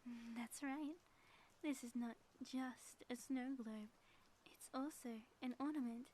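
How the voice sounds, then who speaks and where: A woman's voice: fairly high pitch, fairly even intonation, slow pace, a little mellow, a little hiss or hum. A woman playing a theatrical ex-villain spouse in an audio roleplay, delivering grandiose, mock-menacing taunts in florid language. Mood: hushed menace. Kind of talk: scripted audio roleplay monologue, ASMR.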